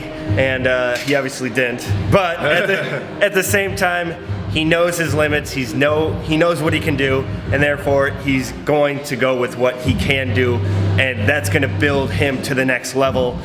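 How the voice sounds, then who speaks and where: A young man hosting a fitness vlog, giving casual, filler-heavy, upbeat gym instruction. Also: mid-range voice. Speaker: fitness vlogger. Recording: roomy gym with music behind.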